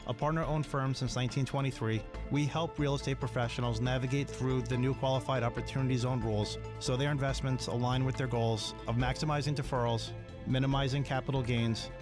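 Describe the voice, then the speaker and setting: A man's voice: medium pitch, steady tone, brisk. Male professional, mid-range voice, formal scripted advisory read for radio, music underneath. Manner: formal, jargon-heavy reading. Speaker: accountant, tax partner giving a tip. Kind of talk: scripted radio tax tip.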